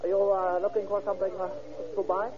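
Man acting a small high-voiced nervous go-between. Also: completely flat delivery, a crowd in the background, close to an everyday voice. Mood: nervous, secretive. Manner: halting, hesitant, clipped broken English. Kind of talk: old-time radio crime drama acting. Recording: muffled vintage radio broadcast.

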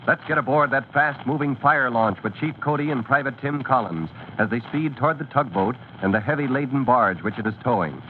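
A man's voice: medium pitch, animated, fairly quick, hardly any pauses. A man narrating a scripted radio serial as its announcer, dramatic and declamatory in an old-time style. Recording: tinny scratchy vintage radio recording.

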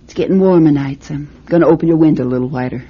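An actress playing a gentle mother in a radio drama, speaking in a soft, soothing way. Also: sits low, racing, husky. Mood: tender. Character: gentle mother tucking in her child. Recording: muffled, noisy radio drama recording.

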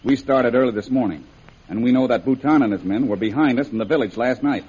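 A commanding safari leader, played by a male actor in a vintage radio serial. The voice is husky and mid-pitched, with firm, declarative delivery, heard on a dull old recording. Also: fast talker, a little mellow, lightly acted. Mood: grimly determined. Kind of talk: 1930s radio serial acting.

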